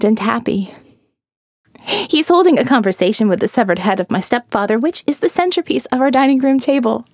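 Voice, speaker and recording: Female narrator, eerie and dreamy, hushed dips, dry booth sound. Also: dry recording. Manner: dreamy, eerie, drops to hushed low tones. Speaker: audiobook narrator. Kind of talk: scripted first-person narration.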